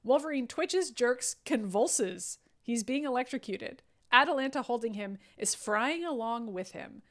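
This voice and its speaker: A woman's voice: on the high side, lively intonation, a little slow, a bit bright. A woman reading stage directions aloud in a live comedic table read, breaking off into incredulous, mocking asides.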